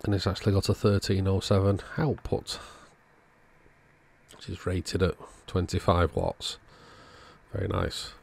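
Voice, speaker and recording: A man calmly narrating a radio repair at his workbench in a matter-of-fact way. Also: sits low, unhurried, vocal fry, slight background noise. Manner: relaxed, matter-of-fact commentary while working. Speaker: electronics repair channel host. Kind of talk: narrated bench repair tutorial. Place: workbench indoors.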